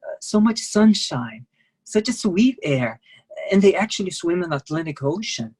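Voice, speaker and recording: Earnest ship worker. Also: female voice, on the low side, sing-song, a little mellow, breathy edge. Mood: nostalgic. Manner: halting, simple non-native phrasing. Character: idealistic young Brazilian cruise-ship worker, earnest and secretive. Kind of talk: dramatic staged play reading.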